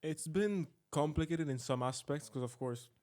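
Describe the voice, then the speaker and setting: A man's voice: fairly high pitch, moderate pace, darkish tone. A young man from northern Italy talking casually as a guest on a podcast. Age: young adult. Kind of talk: casual conversation.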